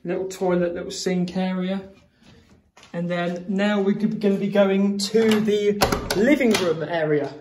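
A man's voice: high-pitched, fairly even intonation, unhurried, background noise. A young man filming a chatty, meandering tour of a small holiday cottage on a handheld phone, walking from room to room. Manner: chatty and meandering, with fillers and self-corrections. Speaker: vlogger. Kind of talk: casual house tour. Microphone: phone camera held in hand.

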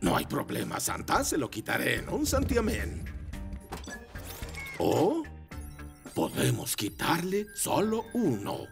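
A friendly cartoon chopper mechanic with a heavily creaking, gravelly voice. His pitch swings widely, and faint music sits behind him. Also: huge pitch swings, slow, pauses often, heavily acted. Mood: matter-of-fact. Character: gruff, friendly male chopper motorbike mechanic. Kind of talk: animated cartoon dubbing.

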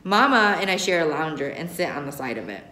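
A woman's voice: sits low, big pitch swings, brisk, sharp tone. An American woman reads a novel aloud expressively, recorded in a roomy classroom. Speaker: reader, teacher. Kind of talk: expressive read-aloud of a young adult novel. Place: indoor classroom. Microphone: camera a short distance away.

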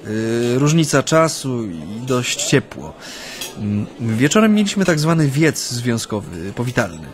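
An actor in a radio play playing a former union activist who recounts his memories in a hesitant, halting way. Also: mid-range voice, sing-song. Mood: reflective, wry. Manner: hesitant, halting, frequent drawn-out hums. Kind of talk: radio play acting, interview-like recollection.

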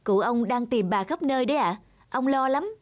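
Woman playing a high-voiced kindly young policeman. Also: lively intonation, normal speed, darkish tone, natural voice. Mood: earnest, respectful. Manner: polite, reassuring, earnest. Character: kind, dutiful young male police officer in a cartoon. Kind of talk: cartoon dub acting.

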